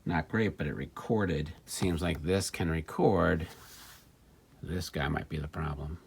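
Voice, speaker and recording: Low-voiced male vlogger, fry in the tone, thinking out loud while demonstrating gear; slight background noise. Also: a little slow. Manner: musing, thinking aloud. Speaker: vlogger demonstrating and repairing vintage electronics. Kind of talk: casual hands-on demonstration talk.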